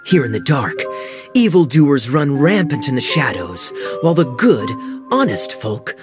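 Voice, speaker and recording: A brooding, noble bandit from a video game stage play. He declaims in a mid-pitched voice with lively inflection, under loud music. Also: measured pace.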